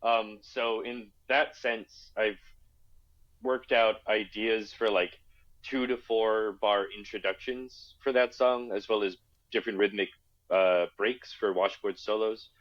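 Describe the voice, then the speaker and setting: Low-voiced male guest, relaxed, slightly muffled. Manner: relaxed, thoughtful, halting. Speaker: guest musician. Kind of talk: casual interview chat.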